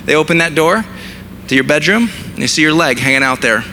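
A man, preaching a sermon with a raspy, hoarse voice as he loses it, mixing jokes about himself into his message. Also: fairly high pitch, lively intonation, fairly quick, sharp tone, slight background noise. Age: 30s. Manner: self-deprecating humor, conversational preaching. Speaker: pastor.

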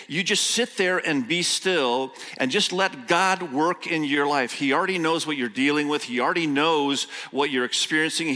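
A man's voice: a little high, pushed and bright. Man preaching in a reverberant hall. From Indonesia. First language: Indonesian. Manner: storytelling, slow emphatic scripture reading, quick asides. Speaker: guest pastor. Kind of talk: sermon. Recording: long church hall reverb.

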